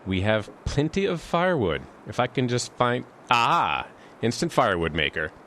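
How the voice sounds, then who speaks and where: An actor playing a confident, reassuring outdoorsy boyfriend in an audio drama. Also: male voice, medium pitch, sing-song, normal speed, a bit bright, a little hiss or hum. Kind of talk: audio drama acting with inner monologue.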